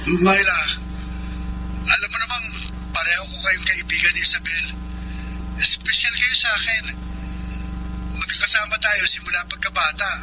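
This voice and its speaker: High-voiced earnest young suitor. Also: male voice, moderate pace, pauses often, bright, forceful tone, slight background noise, poor audio. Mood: earnest, uneasy. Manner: sincere, hesitant. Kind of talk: Filipino radio drama acting.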